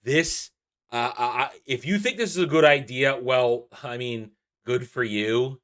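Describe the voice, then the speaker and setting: A man's voice: mid-range voice, unhurried, a bit bright. A man giving opinionated commentary as a vlog host, exasperated and emphatic, repeating phrases and drawing out stressed words. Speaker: YouTube channel host.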